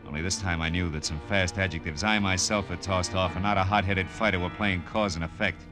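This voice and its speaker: An actor playing a tough, wisecracking American private detective in a classic radio drama, slangy and wry. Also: male voice, sits low, fairly even intonation, a little fast, close to an everyday voice. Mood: tense narration. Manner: wry, slangy, hard-boiled delivery. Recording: old radio drama recording with music.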